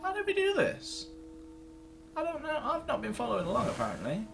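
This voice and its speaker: A man's voice: very high voice, huge pitch swings, brisk, gravelly, over music. A man hosting a let's-play, thinking aloud in a casual, sweary way as he comments on the game. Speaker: gaming video host.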